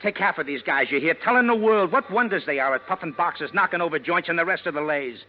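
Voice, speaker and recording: An actor playing a nervous, slangy American ex-convict in a 1940s radio crime drama, pleading and hesitant. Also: male voice, fairly high pitch, animated, quick pace, close to an everyday voice. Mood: self-justifying. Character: nervous, fast-pleading male ex-convict, slangy small-time crook. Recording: dull, noisy vintage radio recording.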